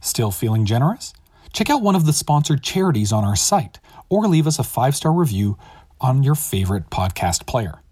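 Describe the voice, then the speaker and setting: Male podcast host, animated and husky, upbeat scripted announcements, dry close sound. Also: mid-range voice, normal speed, dry recording. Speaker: host of an audio drama series.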